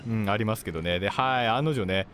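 A man's voice: lowish voice, fast. A man commentating on his gameplay as a video host, explaining things in a polite manner. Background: game music, gunfire and artillery.

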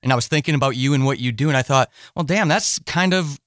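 A man's voice: mid-range voice, fast, fairly bright tone, no echo, clean studio sound. A middle-aged man hosting a conversational podcast interview, circling his way through wordy, hesitant phrasing.

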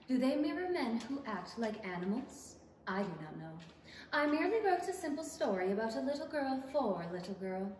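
Woman as animated, high-voiced storybook narrator. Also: moderate pace. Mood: earnest, reflective. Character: author narrator of a fairy story, earnest, theatrical. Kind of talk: scripted radio play narration. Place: theatre stage. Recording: echoey theatre hall from far off, faint noise.

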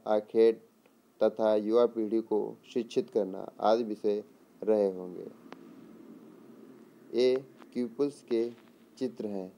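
A man giving an exam lesson read from notes in a deliberate way, posing questions and answering them, sometimes repeating words. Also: on the low side, warm tone. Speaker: teacher.

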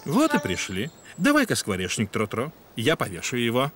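A man voicing a calm, helpful father donkey in a children's cartoon dub, with a gentle, matter-of-fact manner. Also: medium pitch, moderate pace, a little hiss or hum.

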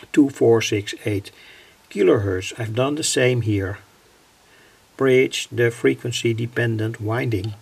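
Very gravelly Dutch-accented man. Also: medium pitch. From Netherlands. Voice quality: gravelly, husky. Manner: halting, deliberate phrasing with pauses. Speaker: electronics hobbyist, vlogger. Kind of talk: informal technical explanation. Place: indoors at a home electronics workbench.

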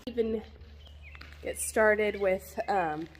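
A young woman in her twenties chatting casually to the camera with lots of ums, her voice a bit deeper from a head cold. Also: extremely slow, slight background noise. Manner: chatty, rambling with many ums. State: getting over a head cold, deeper voice than usual. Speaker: homesteading vlogger. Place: farm buildings, metal shed and barn. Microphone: phone held at arm's length.

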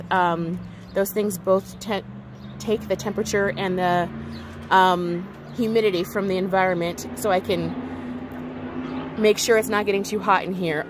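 A woman's voice: mid-range voice, slight background noise. A woman talking casually and informally outdoors, explaining things as she points them out on an unscripted garden tour. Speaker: gardening vlogger giving a tour. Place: outdoors on a home deck.

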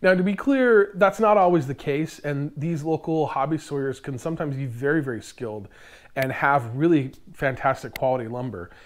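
A man's voice: fairly high pitch, normal speed. An American man in his thirties presenting explanatory DIY tips to camera, recorded indoors on a lapel mic. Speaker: host of a DIY woodworking channel. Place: indoor workshop in front of lumber racks.

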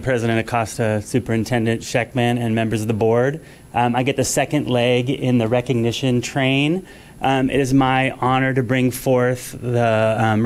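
A man in his forties presents a resolution to a school board from a podium microphone. His voice sits at an ordinary middle pitch. He reads formally, dropping frequent ums between clauses. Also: darkish tone. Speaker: school district director. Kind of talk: reading a resolution at a board meeting. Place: school board meeting room.

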